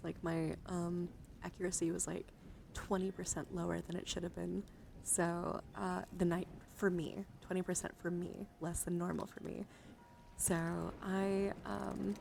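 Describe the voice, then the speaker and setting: A soft-spoken woman live streamer doing a casual ASMR card show-and-tell, chatting and often dropping into a whisper. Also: lowish voice, creaky, slight background noise.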